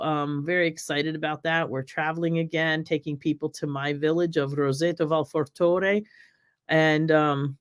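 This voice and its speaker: A middle-aged woman from the United States hosting a casual cooking live stream, chatting with viewers in a rambling, friendly way. Her voice is slightly raspy while she recovers from an illness. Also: sits low. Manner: chatty, rambling, many ums, reads viewer comments aloud. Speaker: cooking show host.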